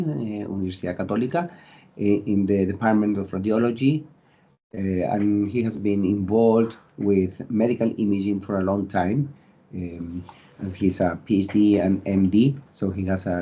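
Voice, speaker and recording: A man hosts a seminar and introduces a guest speaker, pausing often on filler sounds, over a video call. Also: on the low side, mellow, breathy edge. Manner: hesitant, many filler sounds. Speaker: seminar host. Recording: dull lo-fi audio.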